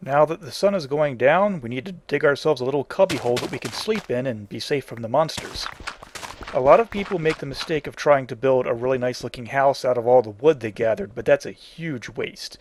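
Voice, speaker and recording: A man's voice: medium pitch, brisk, slight background noise. A man giving casual, instructional commentary as the host of a video game tutorial.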